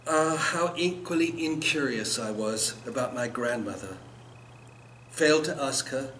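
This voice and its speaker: An older man reads his poetry at a live event with an expressive, dramatic literary delivery. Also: mid-range voice. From South Africa and England. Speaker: poet. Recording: noisy live event room recording.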